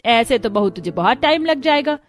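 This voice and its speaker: A woman's voice: fairly high pitch, animated, moderate pace, faint music behind, close to an everyday voice. A woman playing a fussy, complaining female relative in a moral story. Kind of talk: acted dialogue in a moral story.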